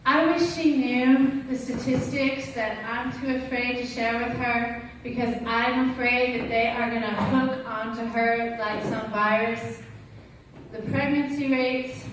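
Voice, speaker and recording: Woman, slam poetry recitation, slow pace, dramatic pauses, distant mic in an echoing space. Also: a little high, steady tone. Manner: deliberate, emphatic, dramatic delivery with pointed pauses. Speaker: teacher performing slam poetry. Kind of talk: slam poetry recitation with acted teacher dialogue. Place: large echoey room at a gala event. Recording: echoey hall, distant mic, faint noise.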